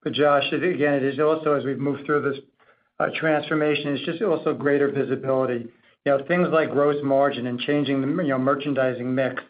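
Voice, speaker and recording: A man's voice: mid-range voice, steady tone, fairly quick. A man speaking as a company executive, explaining things in wordy corporate phrasing on an earnings call. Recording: thin, tinny phone-conference line.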